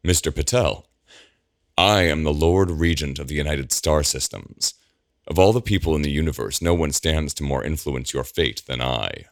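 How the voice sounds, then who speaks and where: A man's voice: very deep voice, moderate pace, dry recording, clean studio sound. A man voicing a commanding male lord regent who growls his orders. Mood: imperious. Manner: growling, imperious.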